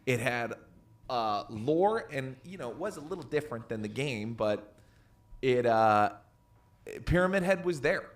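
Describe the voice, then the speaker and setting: An expressive man chatting on a podcast as a co-host. His pitch moves around a lot as he delivers emphatic, opinionated takes in casual, sweary language. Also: unhurried. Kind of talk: casual chat, pop culture opinions.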